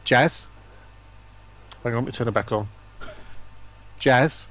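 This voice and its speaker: A man's voice: mid-range voice, slow pace, a bit bright. A man appearing as a guest on a livestream, chatting and bantering casually. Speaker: stream guest.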